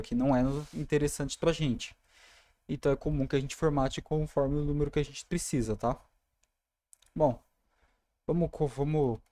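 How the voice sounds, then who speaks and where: A man's voice: mid-range voice, dark tone, no echo. A young man with a Brazilian Portuguese accent, casually explaining code as he types in a screen-recorded tutorial. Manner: casual, talks through code while typing. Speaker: programming tutor.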